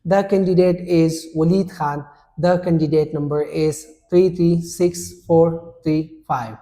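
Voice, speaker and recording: A man acting as examiner in a mock speaking test, asking questions in a formal, measured way. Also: fairly high pitch, fairly even intonation, mellow. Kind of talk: scripted exam questions.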